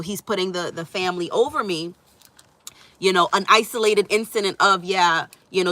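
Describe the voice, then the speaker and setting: Woman, middle-aged, relationship coach, stuffy from a cold, bright tone, dry close sound, reassuring chatty manner. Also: mid-range voice, no room sound. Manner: reassuring, conversational, frequent "right?" and "you know". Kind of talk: live stream chat reading viewer comments.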